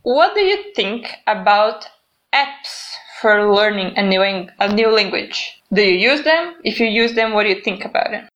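A woman's voice: mid-range voice, animated, normal speed, fairly bright tone. A young woman with a Brazilian Portuguese accent, chatting casually in English, her second language, with lots of "like". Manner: chatty, fills with "like". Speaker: conversation partner. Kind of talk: casual chat.

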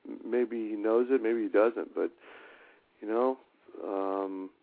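A man guesting on a radio show, talking casually and haltingly with many fillers. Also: on the low side, steady tone, gravelly, no room sound. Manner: halting, warm-hearted, many fillers and restarts. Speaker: musician guest. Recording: dry, tinny phone line.

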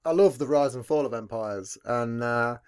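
A man with a deep, heavy voice hosting a podcast, chatting in a laid-back, rambling way and swearing casually. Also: moderate pace, a little mellow, smooth. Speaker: podcast host. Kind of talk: casual chat.

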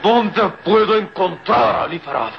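Frantic, high-voiced avenging young man. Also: lively intonation, moderate pace, vocal fry. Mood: enraged, desperate. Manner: frantic, insistent questioning. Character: impassioned young Egyptian man demanding answers, vengeful. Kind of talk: melodramatic radio serial acting. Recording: muffled noisy old radio recording.

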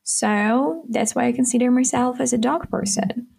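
A woman's voice: on the high side, moderate pace, vocal fry, a little mellow, breathy edge. Upbeat nineteen-year-old woman hosting. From Ukraine. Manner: chatty and upbeat, addressing listeners as guys. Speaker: podcast host. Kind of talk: casual solo podcast monologue.

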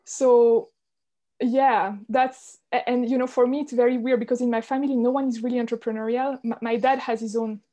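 A woman from Switzerland with a fairly high voice talks as a podcast guest. She is candid and reflective, speaking in a conversational interview style. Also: little pitch movement, a little fast, dark tone.

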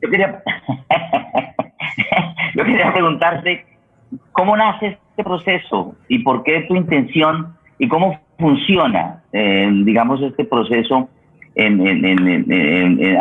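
A male host with a Colombian accent speaks in a mid-pitched voice. He is halting, doubling words and restarting phrases as he goes. The audio is thin and narrow, like a telephone line. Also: animated, husky. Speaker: host of an online radio programme. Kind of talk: unscripted hosting talk. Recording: tinny, phone-like internet connection.

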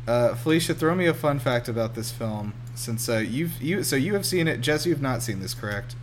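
A man's voice: medium pitch, expressive, a little fast, slight background noise. A man in his twenties hosting a casual film commentary chat, asking lots of questions as he goes. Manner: inquisitive, asks lots of questions. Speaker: podcast host.

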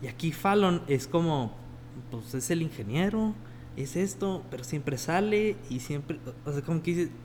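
A man's voice: fairly high pitch, expressive, normal speed, slight background noise. A man in a casual film discussion, reflecting on how the script is built. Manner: reflective, analytical, gives soft backchannel replies.

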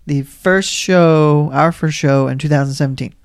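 Man, podcast host, gravelly, relaxed and low-key, dry close mic. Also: normal speed, no echo. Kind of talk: casual chat.

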